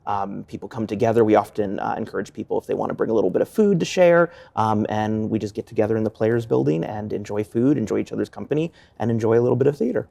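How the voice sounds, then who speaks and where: A man gives a casual interview as a theatre director. His voice sits fairly low, with a mellow, dark tone and a lot of creaky fry. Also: expressive, fast, few pauses.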